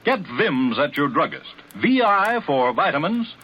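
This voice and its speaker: A man's voice: on the high side, sing-song, unhurried, husky, lo-fi sound. An American man reading a 1940s radio commercial on an old recording. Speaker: advertisement announcer.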